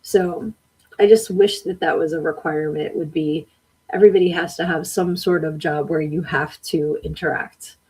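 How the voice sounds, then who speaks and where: A woman with a husky, slightly low voice chats casually on a live stream. She reads viewer comments aloud and answers them, laughing often. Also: fairly even intonation, moderate pace, darkish tone, low-quality recording. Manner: chatty, laughs often, talks back to the chat. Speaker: podcast host reading live comments.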